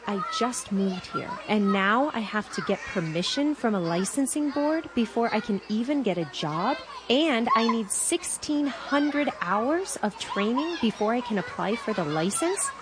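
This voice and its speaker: A woman's voice: on the high side, lively intonation, measured pace, hardly any pauses. A woman playing a frustrated newcomer looking for work in a radio ad. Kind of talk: acted radio ad monologue.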